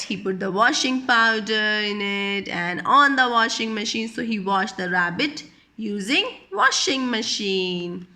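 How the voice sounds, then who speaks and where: A woman telling a picture-book story to young children in an expressive, teacherly way, repeating phrases and asking simple questions. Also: on the high side.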